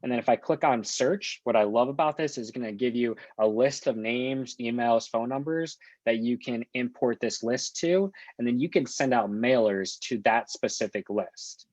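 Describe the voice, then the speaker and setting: Dry, slightly dull-sounding man giving software walkthrough. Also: mid-range voice, normal speed, hardly any pauses, no echo. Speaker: software trainer. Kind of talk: screen-share software walkthrough.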